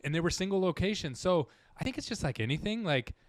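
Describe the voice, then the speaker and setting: A man's voice: high-pitched, expressive, fast talker, dry recording. A man, a dental marketing guest in a casual interview, talking earnestly with many restarts. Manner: earnest, rambling, full of restarts.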